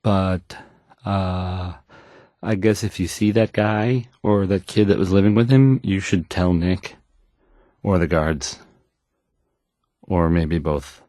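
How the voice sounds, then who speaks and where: Nervous awkward radio dj from a game, kinda low voice, stutters and trails off, super unsure of himself. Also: male voice, mellow, no room sound, studio quality. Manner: halting, stammering, hesitant, awkward, self-doubting. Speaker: radio DJ character. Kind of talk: stammering radio news and music links.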